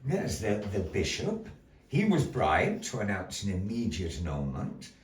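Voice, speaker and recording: A man telling a dark fairy tale live in a florid, dramatic manner, with deliberate pauses. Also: on the low side, huge pitch swings. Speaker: storyteller in a puppet show. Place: indoor gallery performance space. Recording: echoey room, distant mic.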